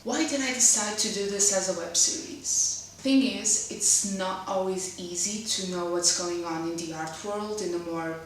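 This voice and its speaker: A woman's voice: mid-range voice, moderate pace, hardly any pauses, a little hiss or hum. A woman in her twenties from Portugal with a Portuguese accent, English being her second language, hosting a casual web series and talking carefully with occasional hesitations. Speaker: host. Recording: roomy echo, mic at a distance.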